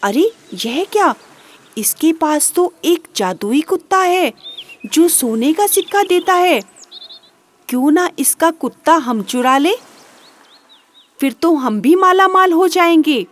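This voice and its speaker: A woman's voice: extremely high pitch, expressive, slow, voice pushed up, heavily acted. A woman voicing a sly, greedy male village thief in a children's story, scheming and wheedling. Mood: greedy, excited.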